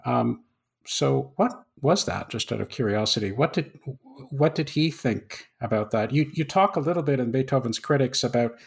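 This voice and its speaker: A man hosting an interview, asking curious, polite questions with some halting restarts. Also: mid-range voice, animated, vocal fry, husky. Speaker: interviewer host.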